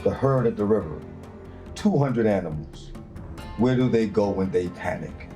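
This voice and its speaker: A man's voice: on the low side, expressive, normal speed, warm tone, soft background music, natural voice. A man reading the part of a calculating militia boss at a table read, terse and probing. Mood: pressing.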